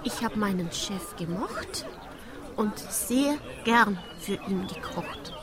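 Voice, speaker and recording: A woman's voice: mid-range voice, expressive, slow, crowd noise. An actress playing a defensive, embarrassed wife and cleaning woman, earnest and hesitant, in a rhymed radio drama. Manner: earnest, hesitant, self-justifying.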